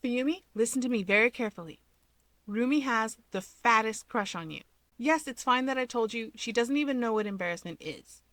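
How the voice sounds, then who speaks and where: A woman's voice: fairly high pitch, expressive, fairly quick, a bit bright, dry recording. A woman voicing an exasperated, anxious young male superhero who questions everything in an incredulous, sardonic way. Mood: urgent, persuasive.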